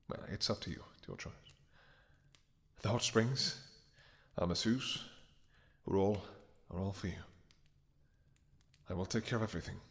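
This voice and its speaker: A man playing a devoted, guilt-ridden vampire king in an intimate romantic fantasy roleplay, speaking haltingly with emotion and formal, archaic endearments. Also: deep voice. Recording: long hall-like reverb.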